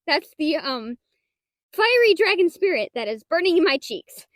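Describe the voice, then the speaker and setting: A woman's voice: very high-pitched, sing-song, moderate pace, no room sound. A woman playing a shy, nerdy young half-dragon librarian in an intimate audio roleplay, stammering and hesitant, with bookish wording and an easily flustered manner. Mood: flustered, bashful.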